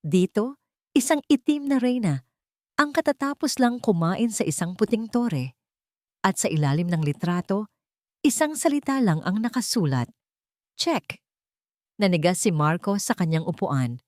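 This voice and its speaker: A woman's voice: mid-range voice, big pitch swings, normal speed, darkish tone, no echo, clean studio sound. A woman with a Filipino accent narrating a dramatic scripted story.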